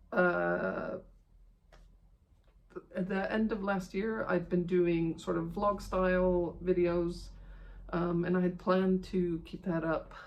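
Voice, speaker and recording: Creaky-voiced woman chatting casually. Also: on the low side, a little mellow. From Iceland. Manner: rambling, self-deprecating, chatty. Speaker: video host of a cross-stitch channel. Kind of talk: casual vlog chat to camera.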